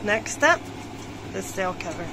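A woman's voice: mid-range voice, sing-song, unhurried, background noise. A young woman hosting a sailing DIY vlog, chatty and upbeat, often laughing. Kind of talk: casual DIY vlog narration. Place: inside a sailboat cabin. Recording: music playing behind her voice.

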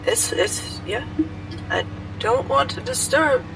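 A woman voicing a timid, apologetic character who stammers and halts in a creaky voice. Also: a little high, expressive, distant mic, background noise. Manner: halting, stammering.

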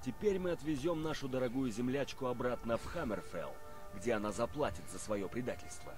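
An actor playing a stern male warrior hunting a traitor in a fantasy game, speaking in a measured way. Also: medium pitch, close to an everyday voice. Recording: game audio under music.

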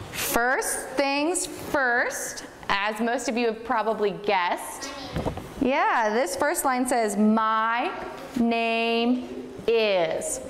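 Slow-speaking young woman teacher, echoey classroom. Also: a little high. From United States. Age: 20s. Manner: patient, encouraging, repeats and praises often. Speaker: English teacher. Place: classroom in front of a whiteboard. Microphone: far camera. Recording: echoey room with long reverb and noise.

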